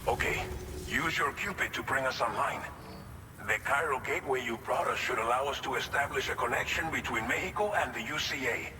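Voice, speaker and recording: A man's voice: medium pitch, fairly quick, noisy background, close to an everyday voice. Distant, reverberant actor as a grateful settlement man. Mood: impressed, hopeful. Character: earnest, grateful male settlement representative, polite. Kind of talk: video game cutscene dialogue. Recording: distant, long reverb, music behind.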